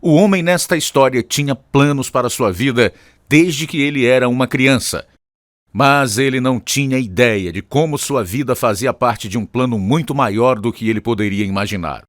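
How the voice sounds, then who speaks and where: A man's voice: medium pitch, normal speed, no echo, studio quality. A man with a Brazilian accent reading scripted narration as the announcer of a radio program. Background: guitar music.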